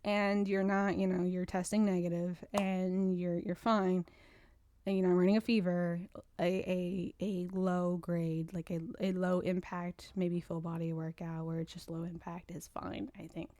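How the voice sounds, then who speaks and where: A woman's voice: fairly low pitch, little pitch movement, measured pace, mellow, no room sound, studio quality. A woman hosting her own podcast in casual, rambling chat, sounding stuffy and congested. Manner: rambling, chatty, frequent restarts. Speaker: podcast host.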